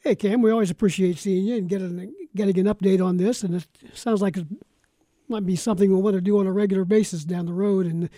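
A man's voice: high voice, steady tone, fast, mellow, no echo. An American man hosting a live sports talk show on radio in a conversational style. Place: radio studio.

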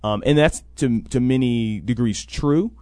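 A man's voice: medium pitch, unhurried, a little mellow, smooth, no room sound, clean studio sound. A man answering interview questions as a program coordinator, explaining conversationally and often acting out what others would say. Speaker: interview guest, college NIL program coordinator. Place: radio sports talk show.

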